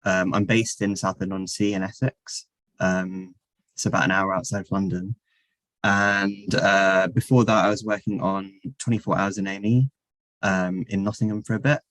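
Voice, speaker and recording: A young man chatting casually on a panel, relaxed and hesitant, with many fillers. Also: low-pitched, moderate pace, low-quality recording. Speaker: alumnus of a documentary training scheme.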